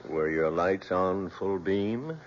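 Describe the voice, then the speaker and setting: An actor playing a stern courtroom examiner in a radio drama, formal, deliberate and authoritative. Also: male voice, deep voice, animated. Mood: formal, probing. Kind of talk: old radio drama acting. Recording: dull radio drama recording with hum.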